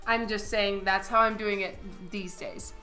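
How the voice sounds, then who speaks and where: Woman with a cold, hoarse and unhurried, bright and a little high, chatty livestream painter. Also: steady tone, soft background music. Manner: chatty, thanks viewers, laughs often. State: hoarse from a cold, voice partly lost. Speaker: digital comic artist streaming. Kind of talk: casual livestream commentary while painting.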